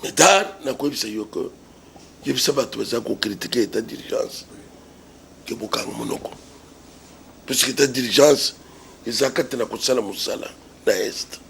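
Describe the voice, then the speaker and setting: A man's voice: medium pitch, unhurried, vocal fry, long pauses, a bit bright, a little hiss or hum. A man with a Congolese accent arguing politics in an interview, emphatic and repetitive, full of rhetorical questions. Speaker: political commentator being interviewed. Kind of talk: impassioned political argument in an interview.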